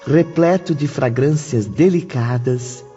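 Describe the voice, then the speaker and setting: A man reading scripted audiobook narration in a lyrical, deliberate storytelling manner. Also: mid-range voice, mellow. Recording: dull lo-fi audio with background music.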